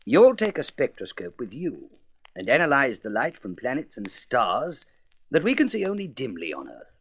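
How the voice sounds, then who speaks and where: A man's voice: mid-range voice, wildly swooping pitch, moderate pace, slightly rough, exaggerated voice. A man playing a courteous, grave president of a space council in a radio serial, formal and emphatic. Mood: explanatory. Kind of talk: vintage science-fiction radio serial acting.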